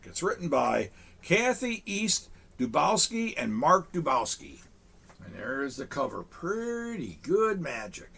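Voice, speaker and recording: A man reads a children's picture book aloud to kids from a small studio set, talking with them between pages. His voice is gravelly and husky, sitting a bit high for a man, with a lively, swooping delivery. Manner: warm, chatty grandfatherly asides to children. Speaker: storyteller in a Santa persona.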